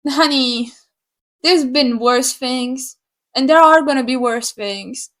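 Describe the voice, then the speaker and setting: Animated, sarcastic young woman from Slovakia. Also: sits high, takes it slowly. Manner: sarcastic, animated, swears freely. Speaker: YouTuber. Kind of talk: opinion rant to camera.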